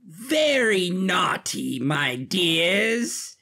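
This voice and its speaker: Slow, creaky, malicious ice queen. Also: mid-range voice, very animated, pushed and bright, pitched above the performer's own voice, heavily acted. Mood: gloating. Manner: theatrical, slow, mocking drawl. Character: malicious icy queen, demonic phantom villainess, mocking.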